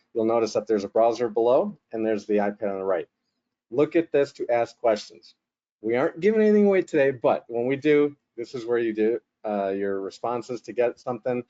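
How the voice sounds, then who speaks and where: A man's voice: mid-range voice, warm tone. A man hosting and moderating a webinar in a casual, joking way, rambling with fillers. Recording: slightly muffled webinar audio.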